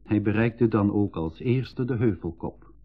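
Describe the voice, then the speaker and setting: A man's voice: lowish voice, measured pace, natural voice. Dull-sounding eager young adventurer, acted by a man. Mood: narrating. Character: Piet, eager sceptical brother, desert adventurer and narrator. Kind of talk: 1980s educational radio drama. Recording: dull 1980s radio recording.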